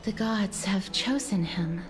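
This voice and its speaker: A woman playing a gentle, courteous, wistful young woman in a fantasy game, speaking softly and politely. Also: medium pitch, slow pace, lightly acted. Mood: guarded concern. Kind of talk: dramatic fantasy game voice acting. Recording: game music behind voice.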